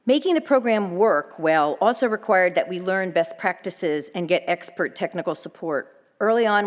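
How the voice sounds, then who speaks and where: Measured woman speaking in an echoing hall. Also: low voice. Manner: measured, formal, grateful. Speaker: nonprofit leader giving an event speech. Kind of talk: prepared speech to a live audience. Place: event hall. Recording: long reverb of a large event hall.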